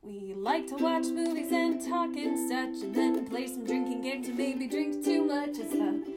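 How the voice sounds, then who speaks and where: A woman's voice: high voice, measured pace. A woman singing a playful podcast theme song with ukulele backing. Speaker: podcast host singing the intro jingle. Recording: sung over loud ukulele music.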